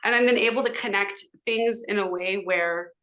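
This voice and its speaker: Bright-voiced American woman chatting. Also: medium pitch, normal speed. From Southern California, United States. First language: Hebrew. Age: middle-aged. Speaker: podcast guest. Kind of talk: casual conversational interview.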